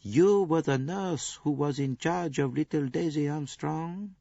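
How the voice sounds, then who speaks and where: A man voicing a fastidious older Belgian detective who speaks softly and precisely. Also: mid-range voice, very animated, creaky, husky, no room sound, pitched above the performer's own voice, heavily acted. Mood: calm. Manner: soft, precise, coaxing. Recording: dull lo-fi recording.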